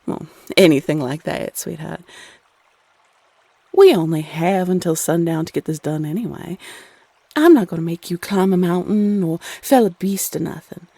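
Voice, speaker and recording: A woman playing a flirty, playfully condescending female Cupid in an intimate roleplay audio, sultry and coy with drawn-out phrasing. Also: on the low side, mellow, breathy edge, dry recording. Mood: playful, matter-of-fact.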